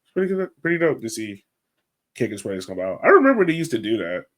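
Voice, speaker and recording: A man's voice: mid-range voice, wildly swooping pitch, quick pace. A man hosting a sports podcast, talking in an informal, chatty, conversational way. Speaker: podcast host. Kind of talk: casual sports podcast chat.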